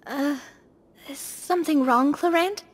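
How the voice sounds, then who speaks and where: A woman playing a spirited, decisive young adventurer in a video game, earnest and expressive. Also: sits high, unhurried, noisy background. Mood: concerned.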